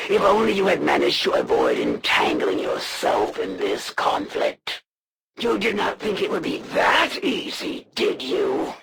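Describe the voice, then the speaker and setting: An actor voicing a proud, menacing female alien assassin from a video game, with formal, haughty threats and a gravelly, breathy delivery. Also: mid-range voice, background noise, full character voice. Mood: cold, taunting, then scornful, solemn. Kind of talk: video game villain voice acting.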